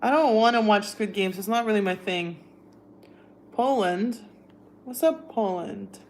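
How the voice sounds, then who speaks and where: A woman's voice: mid-range voice, measured pace, darkish tone, slight background noise. Young woman, Russian accent. Chatty livestream host, easygoing tone, reads viewer questions aloud. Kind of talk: casual livestream chat.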